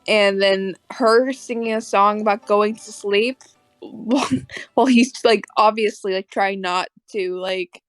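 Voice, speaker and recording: A woman's voice: mid-range voice, steady tone, unhurried, no room sound, soft background music. A woman chatting casually on a fan podcast panel in a giggly, hesitant way.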